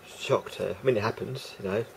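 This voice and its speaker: Croaky, rambling low-voiced man. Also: a little fast, a little hiss or hum, low-quality recording. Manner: rambling, hesitant, full of fillers and restarts. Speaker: vlogger. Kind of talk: casual monologue to camera.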